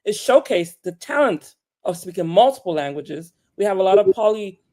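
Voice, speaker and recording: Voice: medium pitch, expressive, normal speed, a little mellow. Emphatic speaker firing rhetorical questions. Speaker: podcaster, panelist. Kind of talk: panel discussion.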